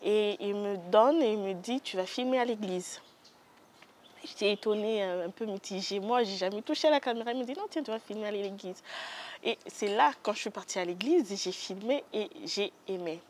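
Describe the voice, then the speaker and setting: A young woman with a Congolese French accent, a photographer being interviewed in a studio, soft-spoken and hesitant with many pauses. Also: mid-range voice, slight background noise. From Democratic Republic of the Congo. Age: young adult. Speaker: guest photographer. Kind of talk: interview answers.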